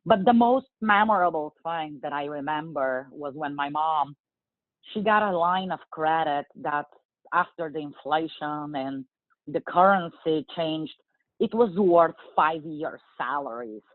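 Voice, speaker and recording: A woman in her forties from Bulgaria, speaking English with a Bulgarian accent, answering interview questions in an earnest, measured way with frequent fillers. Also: low-pitched, dry recording. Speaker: guest. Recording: tinny phone-like line.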